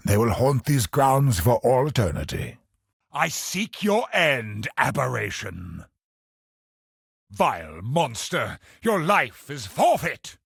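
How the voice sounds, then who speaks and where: An actor voicing a grave, bitter, ancient cosmic sorcerer in a video game, speaking in solemn, portentous, theatrical lines with a husky voice. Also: male voice, on the low side, dry recording, studio quality, heavily acted. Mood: cold, vengeful, then furious, condemning. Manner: solemn, portentous, dramatic slow delivery.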